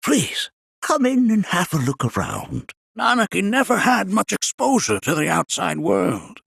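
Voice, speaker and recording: An actor playing an eccentric, jolly old scholar in a video game, with a gravelly, aged voice and a courtly, lecturing way of talking. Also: male voice, sits high, wildly swooping pitch, a bit bright, no room sound, studio quality, full character voice. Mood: jovial, welcoming. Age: older. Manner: courtly, lecturing, with drawn-out musing. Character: eccentric, jolly old male sage and scientist. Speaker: planetology scholar and teacher. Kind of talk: theatrical video game character acting.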